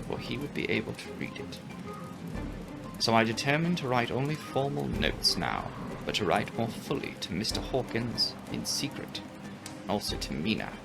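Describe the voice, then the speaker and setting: Expressive male narrator with a creaky edge. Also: fairly low pitch, low-quality recording. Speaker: audiobook narrator. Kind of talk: dramatic narration of a classic novel.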